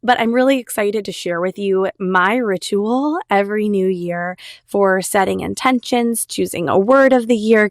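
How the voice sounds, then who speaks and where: Upbeat woman, raspy with a cold. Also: mid-range voice, dry recording, studio quality. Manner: upbeat, chatty, enthusiastic. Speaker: podcast host. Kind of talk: solo podcast monologue.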